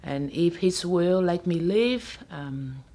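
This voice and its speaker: A middle-aged woman who speaks English as a second language, talking earnestly in a halting way in a documentary interview. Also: on the low side, expressive, mellow. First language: not English. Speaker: interviewee, salon owner.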